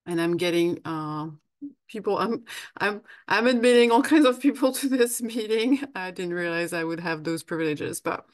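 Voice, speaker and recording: A woman's voice: on the low side, animated, normal speed, dry recording, clean studio sound. A woman from France with a French accent, giving a friendly, practical presentation over a video call with plenty of fillers and restarts. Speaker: guest presenter.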